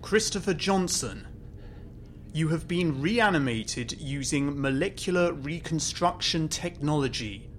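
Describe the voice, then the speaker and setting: A stern officer of future law in an audio drama. His somewhat high voice delivers charges in a formal, commanding way, with a faint rumble behind it. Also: animated, measured pace. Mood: stern, official.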